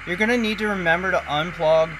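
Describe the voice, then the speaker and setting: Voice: medium pitch, quick pace, noisy background. A tech tutorial host giving a casual hands-on demonstration in a cautionary, instructive manner.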